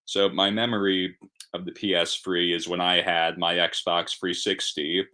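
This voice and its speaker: A man's voice: sits low, level tone, measured pace, sharp tone. A man on a gaming podcast telling a rambling story with self-deprecating humor. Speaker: podcast co-host. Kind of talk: casual chat.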